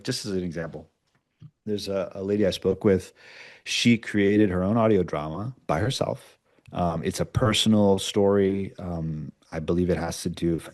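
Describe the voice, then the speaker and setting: A man's voice: deep voice, darkish tone. A man giving casual, explanatory interview answers, often checking in with short tags like "right?". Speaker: podcast producer, interview guest.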